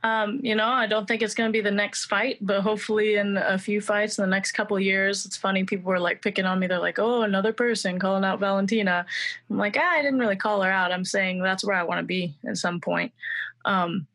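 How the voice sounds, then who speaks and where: A woman's voice: medium pitch, fairly even intonation, brisk, a bit bright. A woman in her twenties answering interview questions in a chatty, confident way, with many fillers. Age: 20s. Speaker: mixed martial arts fighter and student.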